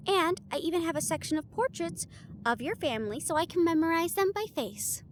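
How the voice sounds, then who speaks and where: Woman acting bossy studious girl in audio rp, really high animated voice, some noise behind. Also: brisk. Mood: eager, proud. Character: earnest, bossy, studious young woman, affectionate planner. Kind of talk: scripted audio roleplay.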